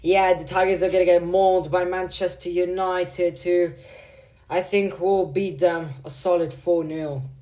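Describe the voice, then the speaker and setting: A man's voice: high voice, fairly even intonation, measured pace, warm tone. A man with a slight Hungarian accent, giving casual football predictions in a vlog, rambling and leaning heavily on "like" and "bro". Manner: rambling, filler-heavy, says "like" and "bro" a lot. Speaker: football vlogger.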